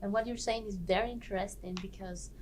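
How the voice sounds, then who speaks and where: A woman's voice: medium pitch, fairly quick, a little hiss or hum. Woman hosting a chat. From Guatemala. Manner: animated, laughs often, searches for words. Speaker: host. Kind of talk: casual discussion.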